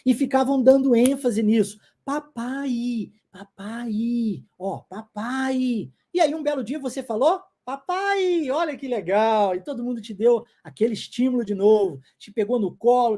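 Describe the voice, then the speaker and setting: A man's voice: extremely high pitch, expressive, measured pace, mellow. A middle-aged man who grew up in the United States and whose first language is English, teaching an online lesson live and checking in often with viewers.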